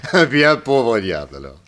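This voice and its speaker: A self-assured detective questioning people. His voice is medium in pitch with lively movement. The delivery is 1960s French radio acting. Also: full character voice.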